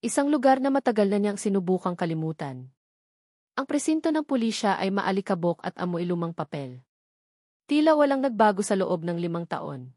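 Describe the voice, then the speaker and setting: Storyteller: Filipino woman, mid-pitched, scripted dramatic narration, close dry recording. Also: big pitch swings, a little fast, warm tone, no room sound.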